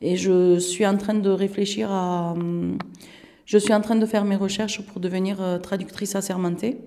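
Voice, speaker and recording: Halting, soft-toned woman in her thirties. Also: mid-range voice, flat delivery, slight background noise. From Turkey. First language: Turkish. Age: 30s. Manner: hesitant, many fillers and restarts. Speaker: interviewee. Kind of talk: casual recollection in an interview.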